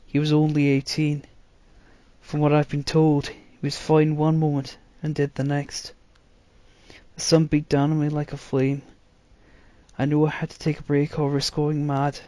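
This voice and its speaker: A man's voice: a little high, darkish tone, no room sound. A man reading a fantasy story aloud as a dramatic storybook narrator. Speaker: narrator, reader.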